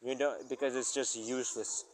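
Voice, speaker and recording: A man's voice: medium pitch, fairly even intonation, moderate pace, a little hiss or hum, poor audio. A man talking casually in a rambling personal audio log as a musician, often searching for words and stressing exclamations. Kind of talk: casual rambling spoken-thoughts monologue.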